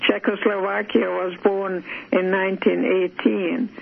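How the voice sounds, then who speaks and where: An older woman whose first language is Hungarian talks with gravelly fry in her voice. She speaks slowly and hesitantly, often pausing and restarting. The sound is a thin, tinny phone line with a faint hiss behind her. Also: medium pitch, little pitch movement, crowd noise. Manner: slow, hesitant, with many fillers and restarts. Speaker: guest. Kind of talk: phone interview.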